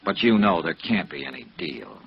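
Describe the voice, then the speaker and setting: An actor playing a wry, tough insurance investigator in clipped, sardonic 1950s radio noir style. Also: male voice, low voice, very animated, normal speed, gravelly, exaggerated voice. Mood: cool, firm. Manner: clipped, sardonic, hard-boiled. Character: wry, tough freelance insurance investigator, middle-aged man. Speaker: radio drama lead actor. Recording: dull, noisy vintage radio recording.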